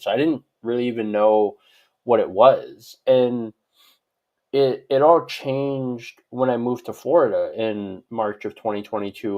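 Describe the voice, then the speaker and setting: A man's voice: lowish voice, muffled recording. Man from Hawaii, rambling conversational guest. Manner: rambling, peppered with "like" and "right?". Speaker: guest expert on social media profiles. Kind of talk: conversational interview answers.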